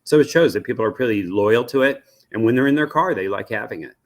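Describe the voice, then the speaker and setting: A man's voice: mid-range voice, animated, fast talker. A man with an American accent giving a conversational expert interview, explaining things and thinking aloud with frequent asides. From United States. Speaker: media research executive, interviewee.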